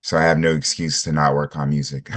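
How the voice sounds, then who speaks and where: A man with a very deep voice talks about his music setup on a video call. He rambles casually, stringing thoughts together with filler words. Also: little pitch movement, brisk, fairly bright tone. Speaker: student.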